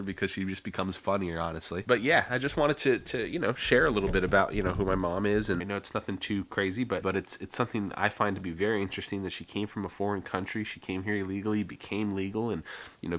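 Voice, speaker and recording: A man's voice: deep voice, a bit bright. A young American man talking casually and earnestly to the camera in a rambling, heartfelt vlog monologue. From United States. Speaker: vlogger.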